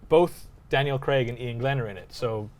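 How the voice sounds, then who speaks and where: A man co-hosting a podcast, discussing films casually and telling anecdotes in an informal, animated way. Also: mid-range voice, normal speed, a crowd in the background. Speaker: podcast co-host.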